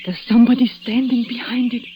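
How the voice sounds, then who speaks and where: A coquettish, excitable Frenchwoman, played by an actress in an old radio thriller. Her voice sits on the high side, with a French accent and halting, broken English. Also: highs cut off, natural voice. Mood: alarmed. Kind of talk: 1940s radio suspense acting. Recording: vintage radio drama recording.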